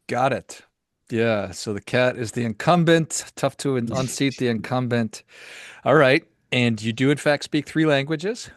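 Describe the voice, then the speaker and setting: A man's voice: medium pitch, measured pace, no echo, studio quality. A man hosting a podcast, chatting casually and asking playful, teasing questions. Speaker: podcast host. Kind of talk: casual interview chat.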